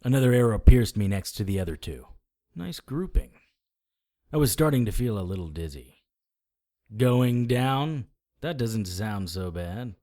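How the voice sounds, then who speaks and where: An actor playing a cocky, sarcastic hardboiled supernatural detective, narrating and trading defiant lines in a noir audio drama. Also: male voice, lowish voice, expressive, a little slow, warm tone. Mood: cocky taunting.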